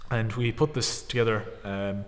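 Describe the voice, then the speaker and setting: Earnest Connemara Irishman, flat and reverberant. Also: fairly low pitch, normal speed. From Conamara, Ireland. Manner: earnest, reflective, with frequent ums and restarts. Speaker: traditional musician. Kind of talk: on-stage talk introducing songs.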